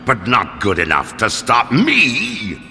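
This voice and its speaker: Gravelly undead pirate villain, theatrical and gloating, slow-rolling delivery, cavernous reverb. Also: male voice, mid-range voice, huge pitch swings, bright, forceful tone, faint music behind, heavily acted. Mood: mocking bluster. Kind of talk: video game character acting.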